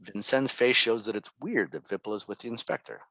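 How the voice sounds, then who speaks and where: A man's voice: on the low side, fairly quick, pushed and bright, no room sound. A man narrating stage directions from a script at a table read.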